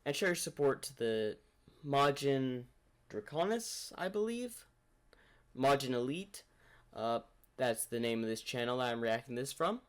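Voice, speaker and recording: Man giving casual reaction commentary, medium pitch. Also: expressive, unhurried, smooth. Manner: rambling, thinking aloud. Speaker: reaction video host.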